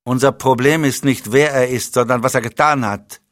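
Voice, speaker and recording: A man voicing a calm, commanding, bone-dry spymaster who speaks in curt, stern orders. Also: medium pitch, moderate pace, dry recording, voice pushed up, lightly acted.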